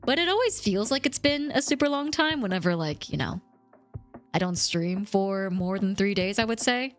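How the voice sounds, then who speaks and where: Chatty streamer woman, slightly rough voice. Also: medium pitch, lively intonation, normal speed, soft background music. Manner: chatty, rambling, reads chat and thanks subscribers. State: slightly rough voice from healing cough. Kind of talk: casual livestream chat.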